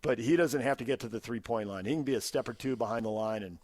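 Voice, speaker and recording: A man's voice: mid-range voice, fast, darkish tone, no echo. An American man co-hosting a sports radio show, arguing his opinions point by point in unscripted debate.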